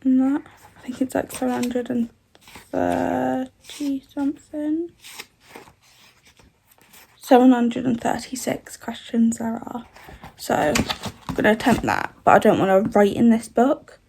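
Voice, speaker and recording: A twenty-year-old woman from the UK, chatting casually in a vlog while she has a cold. Her voice sounds rough and odd, and she rambles with lots of fillers. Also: fairly high pitch, steady tone, unhurried, long pauses, darkish tone, slight background noise. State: congested with a cold. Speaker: vlogger.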